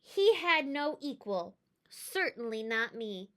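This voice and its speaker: Lady reading a kids book out loud, slow pace, bright voice, really expressive and emphatic. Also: on the high side. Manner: expressive, emphatic, with chatty asides. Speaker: read-aloud storyteller. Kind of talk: expressive children's storybook narration.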